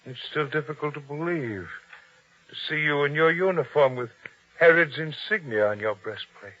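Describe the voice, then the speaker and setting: An actor playing a humble, anxious but principled innkeeper in old-time radio drama style, earnest and formal. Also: male voice, fairly high pitch, lively intonation, moderate pace. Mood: saddened, reproachful. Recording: dull, lo-fi old radio sound.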